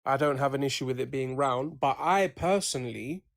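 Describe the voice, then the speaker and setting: A man's voice: medium pitch, moderate pace, creaky, no echo, studio quality. A man calling in as a guest on a livestream debate, answering hesitantly and candidly. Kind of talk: casual conversation.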